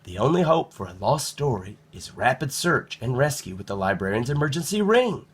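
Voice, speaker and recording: A man voicing a brisk, knowing woman head librarian who talks in an instructive, matter-of-fact way in a children's storybook. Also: mid-range voice, very animated, breathy edge. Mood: grave, explanatory. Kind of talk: children's storybook read-aloud.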